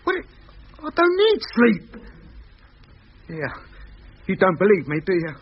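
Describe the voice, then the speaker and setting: A man playing an anxious, excitable rocket crewman with a London Cockney accent in a 1950s radio serial. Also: high voice, slow, slightly rough, full character voice. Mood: shaken, insistent. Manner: agitated, plaintive, colloquial. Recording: noisy, muffled old radio recording.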